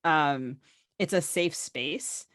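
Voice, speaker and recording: A woman host talks casually in a fairly low voice. She rambles a little, with wry asides, as if telling a friend a story. The recording is close and dry. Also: extremely slow, fairly bright tone, no room sound. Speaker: podcast host. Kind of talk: casual conversational storytelling and questioning.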